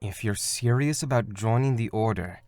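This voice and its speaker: A man playing a calm, earnest mentor of a spiritual order in an animated fandub, speaking in a measured, solemn way. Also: lowish voice, very noisy, natural voice. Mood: patient, firm.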